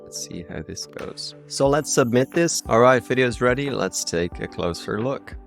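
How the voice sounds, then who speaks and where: An Irish man hosting a casual software tutorial in an enthusiastic way. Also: medium pitch, expressive, moderate pace, vocal fry, faint music behind. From Ireland. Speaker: tutorial video host.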